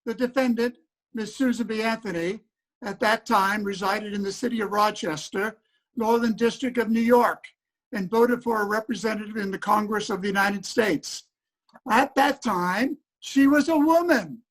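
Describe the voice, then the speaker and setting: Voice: medium pitch, moderate pace, close to an everyday voice, poor audio. Casting: an actor as an impatient old-time trial judge, formal, commanding, reader's theater. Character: stern, impatient 19th-century judge presiding at a trial. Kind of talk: reader's theater courtroom drama.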